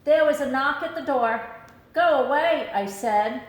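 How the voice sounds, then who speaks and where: A woman reading a children's picture book aloud in an expressive storytelling style. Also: high voice, unhurried, echoey room, rough recording. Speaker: storybook reader.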